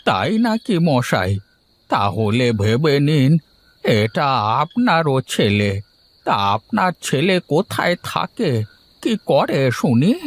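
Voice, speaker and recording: A man playing a weary, gentle old farmer in a cartoon fairy tale, talking in a folksy way. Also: on the high side, big pitch swings, slow pace, full character voice. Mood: polite.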